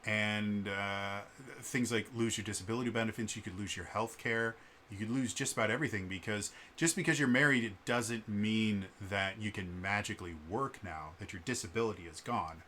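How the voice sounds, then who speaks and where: A man with a stutter talks straight to the camera in an unscripted vlog, earnest and full of restarts. His voice sits fairly low and carries a bright, forceful edge. Faint background noise hangs behind him. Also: moderate pace. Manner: earnest, frequent fillers and restarts. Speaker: vlogger.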